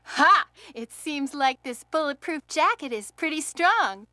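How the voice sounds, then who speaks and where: A woman's voice: sits high, a little slow, no echo, full character voice. An actress voicing an eager young female rookie medic in a 1990s video game, with stiff line readings. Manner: stiff, flatly delivered lines. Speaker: game character. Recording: music behind the voice.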